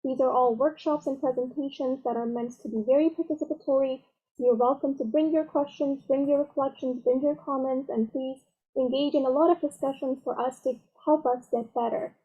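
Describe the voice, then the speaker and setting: High-voiced woman on a tinny call. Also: fairly even intonation, a little fast. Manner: friendly, inviting. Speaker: project manager closing a meeting. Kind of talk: online presentation talk. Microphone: computer mic on video call. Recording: tinny phone-like call audio.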